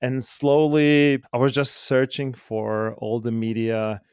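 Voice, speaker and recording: A man's voice: mid-range voice, fairly even intonation, takes it slowly, darkish tone, clean tone, no echo. A man from Croatia with a Croatian accent, calling in remotely and casually telling his life story as an interview guest. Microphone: remote call.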